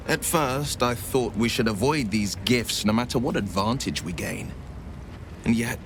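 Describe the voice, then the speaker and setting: An actor playing a courteous, confident hero in a video game, reassuring and eloquent. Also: male voice, lowish voice, fairly bright tone. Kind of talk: video game character acting.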